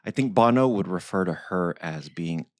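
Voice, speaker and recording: A man's voice: low-pitched, expressive, moderate pace, no room sound. A man in his forties hosting a podcast interview, enthusiastic and effusive, with fillers and restarts. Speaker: podcast host.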